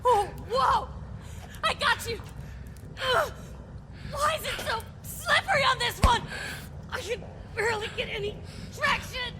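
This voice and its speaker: A woman voicing a small, loyal companion who shouts urgently and breathlessly. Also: very high voice, a little slow, long pauses. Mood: straining. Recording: loud background noise.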